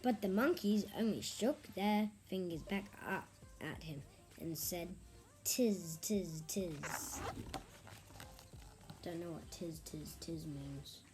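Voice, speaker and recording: A woman reading a children's story aloud in an expressive, theatrical way with drawn-out phrasing. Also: fairly low pitch, over music. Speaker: storybook reader.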